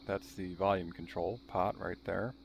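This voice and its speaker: Low, gravelly man with background noise. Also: fairly even intonation, measured pace. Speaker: video host showing a restored vintage radio. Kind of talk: how-to demonstration narration.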